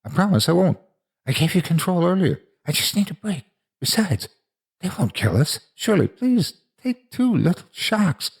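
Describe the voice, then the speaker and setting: Man plays frightened boy in audio story, begging desperately, raised husky voice. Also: medium pitch, big pitch swings, fairly quick, a little mellow, voice pushed up. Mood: desperate bargaining. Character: terrified boy pleading and screaming to be released.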